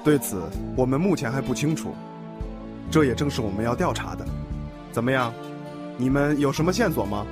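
A man playing a composed police detective in a radio drama, questioning in a measured, formal way. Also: mid-range voice, animated, close to an everyday voice. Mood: calm, probing. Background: music.

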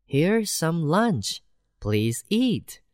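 A humble, cheerful country mouse who is amazed by everything, voiced by a woman reading a storybook. The voice is low and lively in pitch, spoken very slowly, in a close, dry recording. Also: dry recording, heavily acted. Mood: welcoming. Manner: slow, wide-eyed, gently excited.

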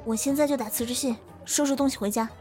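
A woman's voice: high-pitched, steady tone, fast, mellow, faint music behind, lightly acted. A woman acting a touchy, loyal young office assistant in an audio drama, blunt and defensive. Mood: agitated, defensive.

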